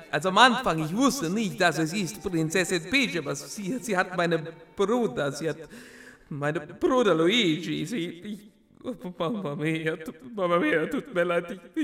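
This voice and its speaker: A bumbling middle-aged plumber hero, as a man plays him with a thick mock Italian accent and a high voice. He stammers and mangles his grammar like a cartoon comedy character. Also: animated, a little slow, sharp tone, full character voice. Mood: flustered. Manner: stammering, flustered, broken grammar. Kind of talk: comedy sketch acting.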